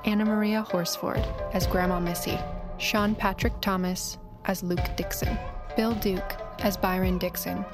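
A woman's voice: medium pitch, steady tone, unhurried. Woman announcer reading credits over music. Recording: music bed behind.

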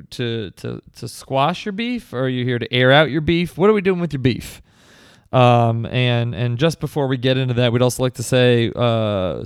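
A man hosting a comedy podcast, rambling in a mock-earnest, deadpan way. His voice is smooth and resonant at an average pitch, recorded close and dry. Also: no echo. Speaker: podcast host.

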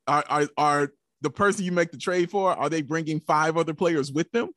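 A man's voice: on the high side, fairly even intonation, moderate pace, a bit bright, dry recording, studio quality. A man co-hosting a sports podcast in casual fan talk, preaching emphatically with rhetorical questions and repeated catchphrases.

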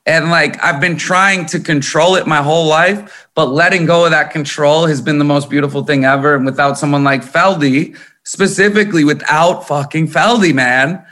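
A man's voice: fairly high pitch, normal speed. A man in his thirties from Minnesota, a musician talking candidly and emphatically in an interview, swearing freely. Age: 30s. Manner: animated, emphatic, swears often. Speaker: guest musician.

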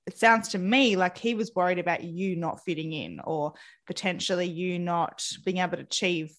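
A woman hosting an interview podcast, gently leading her guest with encouraging follow-up questions and a few ums. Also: fairly low pitch, moderate pace. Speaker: podcast host.

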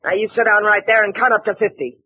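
Flora, a husky tough bar owner, voiced by an actress. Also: female voice, mid-range voice, steady tone, quick pace, lightly acted. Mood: bossy. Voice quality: husky, bossy, blunt. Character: tough middle-aged woman running a roadside bar. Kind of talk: 1940s radio acting. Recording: dull old radio broadcast.

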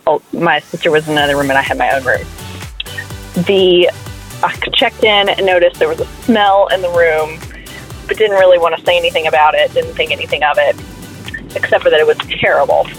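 A listener telling a story in a casual voicemail, rambling and hesitant. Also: female voice, low voice, a little fast, soft background music, poor audio.

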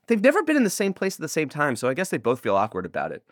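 A man's voice: fairly high pitch, wildly swooping pitch, racing, dry recording, clean studio sound. A man being interviewed as an audio drama creator, chatting casually in a rambling, jokey way that often interrupts itself. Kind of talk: casual, animated interview chat.

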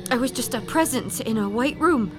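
A woman's voice: fairly high pitch, expressive, moderate pace, close to an everyday voice. An actress playing a haunted young woman in a horror audio drama, speaking with dramatic intensity. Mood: troubled. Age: young adult. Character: haunted young woman artist, channelling a murdered high school girl. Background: music.

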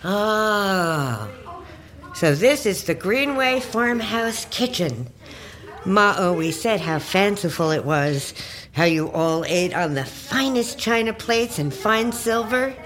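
Lively, pushy folksy great-aunt. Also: female voice, mid-range voice, slow pace, slight background noise. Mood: brash, nosy. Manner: chatty, insistent, theatrical. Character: folksy, pushy great-aunt, slyly over-friendly and intrusive. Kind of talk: audio drama acting.

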